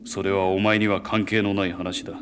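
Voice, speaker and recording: An actor playing a stern, middle-aged swordsmith father in an anime drama, gruff and emotional. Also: low voice, level tone, brisk, a bit bright, slight background noise. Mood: furious. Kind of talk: anime voice acting.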